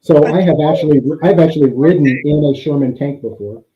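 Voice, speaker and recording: A man's voice: on the high side, fairly quick, warm tone, echoey room, dull audio. A man taking part as a contestant in an online game show, hesitant and full of fillers.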